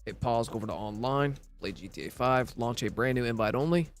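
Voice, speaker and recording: A man with a scratchy, slightly worn voice talks through a video game tutorial step by step. His pitch sits in an ordinary middle range, and faint music plays underneath. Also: a little fast. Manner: instructional, walks through steps plainly. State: scratchy voice from recovering illness. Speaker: gaming tutorial narrator.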